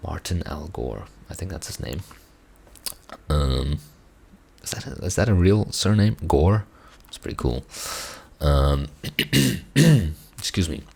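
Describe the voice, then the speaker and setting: A man, an Afrikaans speaker, hoarse from singing, talking through a song reaction in a reflective, hesitant way with many restarts. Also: rumbling low, animated, slow, pauses often, darkish tone. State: hoarse, strained voice. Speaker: musician reacting to songs. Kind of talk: casual reaction commentary.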